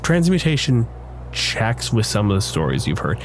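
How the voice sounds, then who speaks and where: Lower-pitched man running a game. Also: big pitch swings, moderate pace, a little hiss or hum. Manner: conversational, explanatory. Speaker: game master. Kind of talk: casual tabletop game narration.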